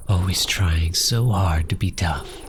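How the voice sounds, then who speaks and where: A man playing a tender, grieving orphan in a soft ASMR audio roleplay, talking slowly and haltingly to a pet cat in a breathy voice that often drops into a whisper. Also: deep voice, a little hiss or hum. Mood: soft, worried affection. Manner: slow, halting, intimate.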